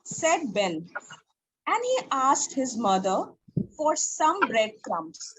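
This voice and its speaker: A woman narrating a story in a reader's theatre, reading aloud slowly with careful, halting phrasing. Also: high voice, big pitch swings, a bit bright, breathy edge, rough recording.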